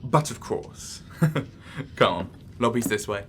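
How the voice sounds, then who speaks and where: A man's voice: medium pitch, lively intonation, takes it slowly. Guy acting as eager young ghost hunting lad, cheeky and chatty, bit of noise behind him. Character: excitable, cheeky young male amateur ghost hunter. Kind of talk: naturalistic audio drama acting.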